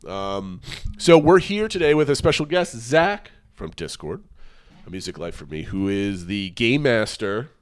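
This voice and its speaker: A man's voice: lowish voice, very animated, measured pace, smooth. A man in his thirties co-hosting a podcast over a video call, nasal and congested from a cold, greeting listeners in an upbeat way. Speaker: podcast co-host. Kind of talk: casual podcast chat. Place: small room at home. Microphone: headphones and desk mic on a video call.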